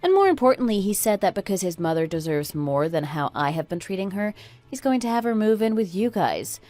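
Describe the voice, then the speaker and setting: A woman playing a fed-up, sharp-tongued married woman in a radio drama, speaking in an emphatic, scornful, lecturing manner. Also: mid-range voice, sing-song, a little fast, lightly acted. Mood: pointed, resentful. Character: fed-up, sharp-tongued oldest daughter-in-law, a divorcing breadwinner. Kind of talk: scripted radio drama acting.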